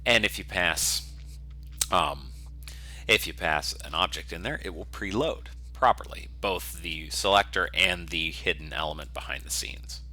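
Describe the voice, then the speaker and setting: A man giving an informal, step-by-step software screencast tutorial, explaining conversationally as he works. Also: deep voice, measured pace.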